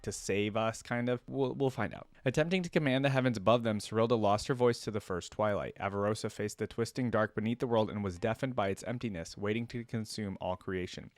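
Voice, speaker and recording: A man's voice: fairly low pitch, no echo. A man hosting a gaming lore reaction series, switching between reading aloud and informal commentary as he thinks aloud. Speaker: host of a video game lore reaction series.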